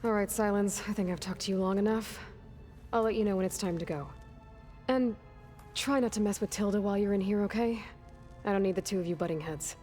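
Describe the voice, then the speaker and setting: Mellow-voiced, dryly sardonic young warrior woman played by an actress. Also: mid-range voice, brisk, faint music behind, natural voice. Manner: dry, sardonic. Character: guarded, determined young woman warrior with dry wit. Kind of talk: video game acting.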